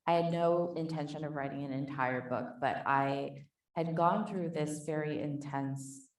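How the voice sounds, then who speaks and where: A woman author in a panel conversation, thoughtful and soft-spoken, reading from her book and talking about it. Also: low voice, fairly even intonation, a little slow, far from the mic. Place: conference hall. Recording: echoey hall, voice from off mic.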